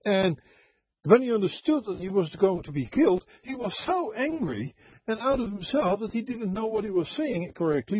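Man, lively high voice, halting rambling caller, online voice chat. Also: slightly rough, dry recording, dull audio. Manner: halting, laughs often, many fillers. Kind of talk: rambling call-in storytelling.

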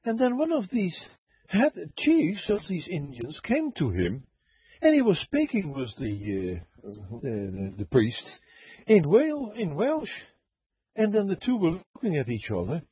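Man calling in, high husky voice, dull lo-fi chat audio, rambling and halting. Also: huge pitch swings, dry recording. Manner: halting, laughs often, many fillers. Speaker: caller. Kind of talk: rambling call-in storytelling. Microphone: online voice chat.

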